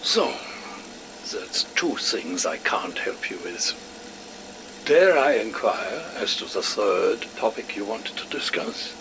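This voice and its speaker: Man acting a slow, lilting, pedantic scientist. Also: fairly low pitch, sing-song, gravelly, background noise, heavily acted. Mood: dry, needling. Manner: pedantic, formal wording. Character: fussy, wry, verbose male scientist, smugly playful. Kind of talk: audio drama dialogue.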